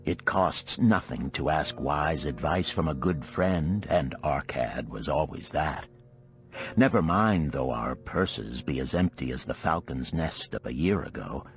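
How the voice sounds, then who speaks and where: A man's voice: rumbling low, animated, measured pace, faint music behind, pitched below the performer's own voice, full character voice. A man voicing a weary, thoughtful chariot builder of ancient Babylon in a storybook parable, with brooding, archaic phrasing. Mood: hopeful, resolved.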